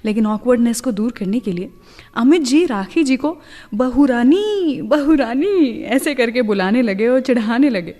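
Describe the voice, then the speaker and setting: A woman's voice: fairly high pitch, animated, measured pace, dark tone, big-room sound, soft background music. A woman hosting a radio show, telling chatty anecdotes in a scripted style.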